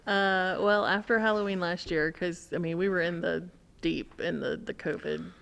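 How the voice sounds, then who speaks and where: A middle-aged woman with an American accent and a fairly low voice. There is plenty of vocal fry as she chats casually and tells anecdotes. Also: steady tone, moderate pace, a bit bright. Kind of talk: casual chat, anecdotal storytelling.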